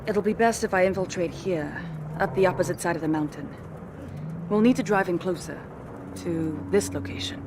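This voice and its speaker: A woman's voice: fairly low pitch, moderate pace, noisy background, natural voice. A woman playing a focused special forces scout in a video game, reporting in a terse, matter-of-fact way. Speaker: infiltration scout reporting to commander. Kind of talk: cinematic military game acting. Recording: loud music over the voice.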